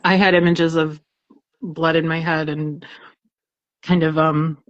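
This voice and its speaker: A woman's voice: sits low, little pitch movement, takes it slowly, no room sound. Woman chatting, dull dry lo-fi audio. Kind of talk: casual chat.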